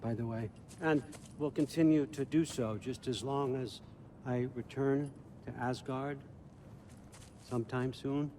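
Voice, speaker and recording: An actor playing a sly patriarch god in a video game, feigning friendliness with casual, insinuating menace. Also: male voice, medium pitch, fairly even intonation, warm tone, a little hiss or hum, lightly acted. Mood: smug, casual. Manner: conversational, insinuating, unhurried. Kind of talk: video game cutscene acting.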